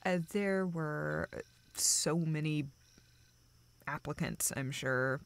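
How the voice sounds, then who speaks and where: A woman playing a young woman in an intimate audio drama, with a lowish voice full of vocal fry. She talks slowly and haltingly to a close friend, joking at her own expense in a dry, deadpan way. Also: heavily acted. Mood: deflated, awkward. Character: self-deprecating, dryly sarcastic young woman confiding in a close friend. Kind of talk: intimate audio roleplay, naturalistic acting.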